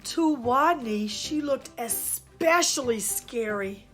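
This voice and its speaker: A woman storyteller giving an expressive children's read-aloud with dramatic emphasis. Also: fairly high pitch, slow pace, faint music behind. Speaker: storyteller and show host.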